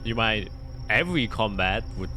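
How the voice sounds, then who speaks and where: A man's voice: fairly low pitch, expressive, moderate pace, bright, forceful tone, soft background music, rough recording. A man playing a cheeky, put-upon talking cat in a tabletop game, grumbling dryly with some self-pity. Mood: dry, wary.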